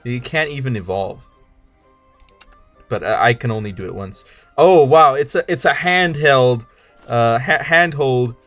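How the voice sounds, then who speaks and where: A man's voice: medium pitch, lively intonation, a little slow, pauses often, fairly bright tone, no room sound, faint music behind. A man streaming a card game with casual, rambling commentary, joking around and stumbling over his words as he restarts sentences. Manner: rambling, jokey, stammers and restarts.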